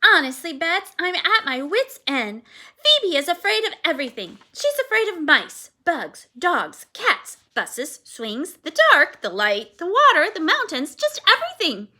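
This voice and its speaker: A woman voicing a gentle, patient mother in a read-aloud storybook. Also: high-pitched, sing-song, unhurried, pitched above the performer's own voice, heavily acted. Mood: motherly. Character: warm patient middle-aged mother.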